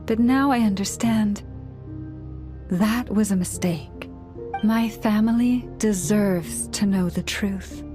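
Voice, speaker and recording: Slow, solemn priestess, acted by a woman. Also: medium pitch, warm tone, close to an everyday voice. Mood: pained, earnest. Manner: measured, earnest, solemn. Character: gentle, solemn priestess-like moon chanter, earnest young woman. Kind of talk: video game voice acting. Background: background music.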